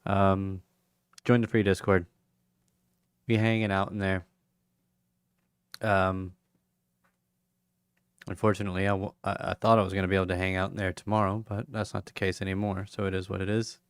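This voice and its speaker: A tired man with a fairly low, gravelly voice, winding down a livestream in a loose, rambling way full of ums. His voice sounds a little hoarse and he yawns through it. The sound is dry and close. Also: little pitch movement, no echo. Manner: rambling, halting, many fillers. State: tired, yawning; voice going hoarse. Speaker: crypto livestream host. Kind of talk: casual livestream sign-off chat.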